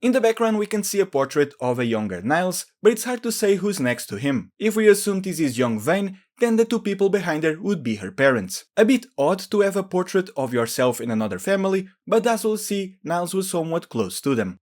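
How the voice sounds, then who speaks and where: A man's voice: high voice, big pitch swings, brisk, hardly any pauses, a little mellow, soft background music. A man giving scripted explainer narration as a video host, in a matter-of-fact, explanatory way.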